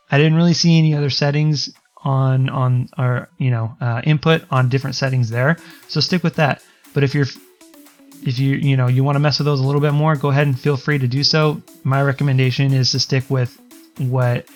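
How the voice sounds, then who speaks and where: A man with a self-described higher-pitched voice talks through settings in a casual, rambling way, often restarting his sentences and filling gaps with ums. His pitch sits in a middle range for a man. Quiet music plays faintly underneath him. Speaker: streamer explaining audio settings. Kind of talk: casual screen-recorded tutorial.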